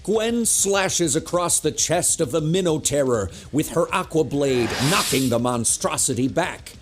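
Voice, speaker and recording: Male narrator for action audio drama, mid-pitched, very expressive, faint music bed. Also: measured pace.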